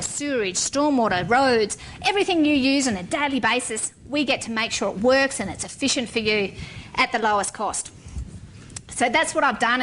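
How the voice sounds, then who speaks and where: A woman's voice: fairly high pitch, fairly bright tone, a little hiss or hum, low-quality recording. A middle-aged woman, a civil engineer, giving a frank, wry talk to an audience. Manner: frank and wry, with blunt humor.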